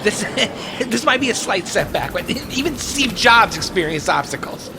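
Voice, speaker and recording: A man's voice: sits high, big pitch swings, measured pace, vocal fry, noisy background, heavily acted. A man playing a cowardly, pompous villain in an audio drama, stammering and wheedling as he talks. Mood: flustered bravado. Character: cowardly, pompous male villain, self-help-spouting weasel.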